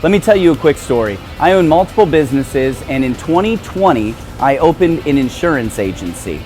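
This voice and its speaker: A man's voice: on the high side, very animated, fairly quick, a little mellow, faint music behind. An American man speaking conversationally as a radio host and promoter. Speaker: radio show host and business owner. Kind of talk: conversational hosting and promotional talk.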